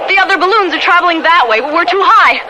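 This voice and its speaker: A woman's voice: extremely high pitch, fast talker, dull audio, heavy background noise. An American woman playing a protective, exasperated aunt who flies balloons, acting and narrating in a radio drama. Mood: alarmed, angry.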